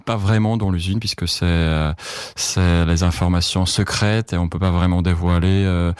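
Low-voiced Czech man, halting and searching. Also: fairly even intonation, smooth, no room sound. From Czech Republic, Prague. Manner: halting, searching for words, repeats himself. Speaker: journalist, foreign correspondent being interviewed. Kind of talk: casual interview answers, recounting memories.

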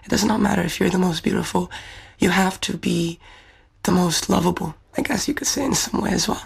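Young woman with gravelly, husky voice. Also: lowish voice, a little fast. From Czech Republic. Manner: thoughtful, earnest, fluent English speaker. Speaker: beauty pageant winner. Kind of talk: interview answers.

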